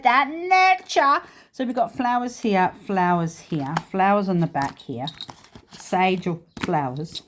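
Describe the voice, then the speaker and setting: A woman's voice: deep voice, darkish tone. A woman with a congested, stuffy voice giving a chatty, rambling spiritual card reading full of fillers. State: congested with sinus trouble. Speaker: tarot and oracle card reader.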